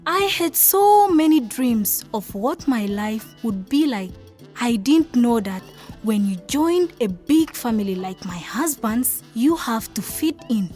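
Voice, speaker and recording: Shy dreamy young wife, highish voice, earnest radio drama, bit of music. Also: female voice, animated, a little slow, darkish tone. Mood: wistful storytelling. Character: timid, dreamy young South Sudanese village wife, narrator. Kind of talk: radio drama narration and acted dialogue.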